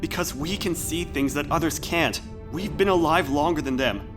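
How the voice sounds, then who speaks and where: An actor plays a sweet-natured, ageless man who is full of ideals. He speaks with earnest, slightly hesitant sincerity. Music is clearly audible behind this audio drama. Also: fairly high pitch, normal speed, natural voice. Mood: earnest, hopeful.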